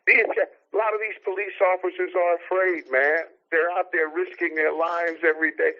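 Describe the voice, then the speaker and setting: A man's voice: high voice, fairly even intonation, normal speed. An American man in his sixties giving his opinions in a live radio discussion, speaking emphatically and rhetorically. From United States. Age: 60s. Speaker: radio show guest. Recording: narrow, tinny telephone line on radio.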